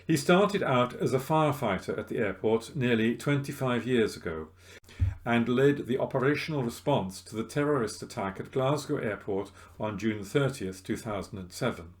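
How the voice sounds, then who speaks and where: A man's voice: medium pitch, expressive, normal speed. A man reading local news articles aloud as a volunteer reader, recorded at home.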